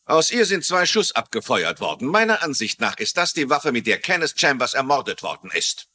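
An actor playing a brisk, officious and self-important police lieutenant in a dubbed TV mystery. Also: male voice, mid-range voice, sing-song, sharp tone. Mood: interrogating. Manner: officious, insistent.

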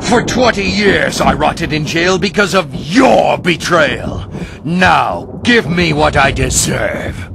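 A man's voice: fairly high pitch, animated, slow pace, creaky, heavy background noise, exaggerated voice. A man voicing a vengeful, menacing snow leopard villain in a video game, snarling, sneering and commanding. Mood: furious. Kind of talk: animated video game voice acting. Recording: loud game music over voice.

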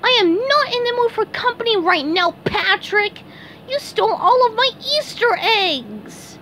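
A woman voicing an excitable, kind-hearted young male cartoon sea sponge from a children's storybook, high and earnest. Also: measured pace, a little hiss or hum, heavily acted. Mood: upset, wailing. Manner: high-pitched, earnest, exclamatory.

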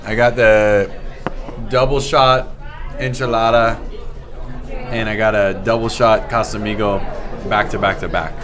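A man's voice: lowish voice, little pitch movement, a little slow, long pauses, music in the background. A man with an American accent chatting casually at a restaurant table. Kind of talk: casual chat. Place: busy restaurant. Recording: clear restaurant crowd noise. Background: crowd chatter.

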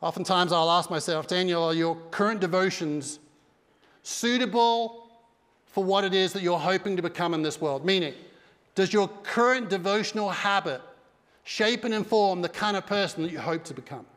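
A middle-aged pastor preaches with emphatic energy, his voice pitched high for a man. He speaks into a headset mic, and the big church hall rings with a long reverb behind him. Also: moderate pace, fairly bright tone. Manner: emphatic preaching with broad hand gestures. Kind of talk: sermon. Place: large dark church stage.

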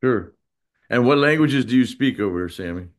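A man's voice: medium pitch, lively intonation, normal speed. A man in his fifties with an American accent, hosting a casual interview over a video call. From United States. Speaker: interview host. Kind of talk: casual chat. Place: small indoor room, on a video call.

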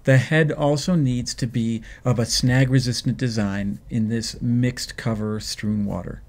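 A man in his fifties, hosting a fishing video, explains his tackle choices in a measured, thoughtful way with frequent ums and uhs, filmed indoors at a desk. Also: mid-range voice, steady tone, a little mellow. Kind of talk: instructional fishing talk. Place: indoor home office.

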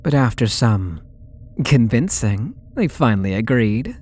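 A man's voice: medium pitch, sing-song, a little mellow, slight background noise. A young man playing a possessive, sweetly menacing male stalker in an ASMR roleplay audio drama, with a taunting, coaxing manner. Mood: smug, confiding. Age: young adult. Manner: slow, taunting, coaxing delivery.

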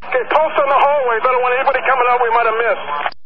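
A man's voice: very high-pitched, big pitch swings, racing. A male police officer giving terse, commanding, urgent orders over a police radio. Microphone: two-way radio. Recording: muffled noisy police radio.

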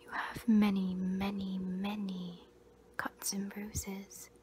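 A young woman playing a gentle caregiver tending a wounded soldier, whispering breathily and soothingly in an ASMR roleplay. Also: mid-range voice, level tone, lightly acted. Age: young adult. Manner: slow, soothing, caring. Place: small indoor room against a draped sheet. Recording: noisy amateur close-up recording.

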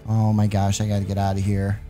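A man hosting a gaming video, giving excited, exclamatory gameplay commentary with playful mock alarm as he addresses his viewers. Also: lowish voice, a little fast, soft background music.

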